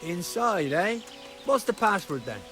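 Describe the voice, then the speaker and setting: High, lively gruff gate guard, curt, video game. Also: male voice, unhurried, soft background music, full character voice. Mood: wary. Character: gruff male bandit camp gate guard.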